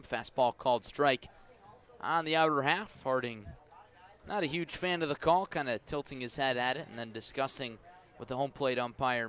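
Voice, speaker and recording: A man with a mid-pitched voice works as a radio baseball announcer. He describes the game in measured, unhurried phrases and lifts his delivery when the ball is in play. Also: fairly bright tone. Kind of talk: live radio baseball commentary.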